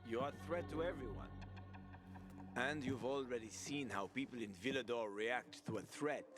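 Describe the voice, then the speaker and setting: An actor playing a seasoned, talkative city survivor in a video game, wry and familiar, swearing freely like a streetwise opportunist. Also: male voice, medium pitch, moderate pace, close to an everyday voice. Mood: cautioning. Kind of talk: video game cutscene acting. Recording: game music behind the voice.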